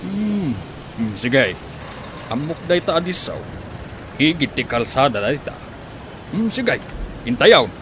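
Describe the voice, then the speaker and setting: A man's voice: mid-range voice, sing-song, slow, long pauses. Man acting an obliging driver amid traffic noise. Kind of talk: audio drama acting. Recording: loud vehicle and street noise.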